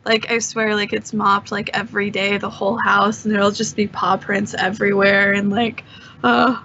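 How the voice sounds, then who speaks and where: A woman's voice: mid-range voice, flat delivery, moderate pace, pushed and bright, dull audio. A woman chatting casually as a podcast guest in a loose, rambling way, with noise behind her. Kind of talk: casual chat. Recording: noticeable background noise.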